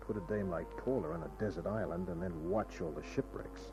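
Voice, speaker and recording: An actor playing a wisecracking, world-weary private detective in an old radio crime drama, with dry, sardonic delivery. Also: male voice, deep voice, normal speed. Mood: sardonic, wry. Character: wisecracking hard-boiled male private detective. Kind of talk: 1940s-50s radio crime drama narration and dialogue. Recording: dull old radio recording with music.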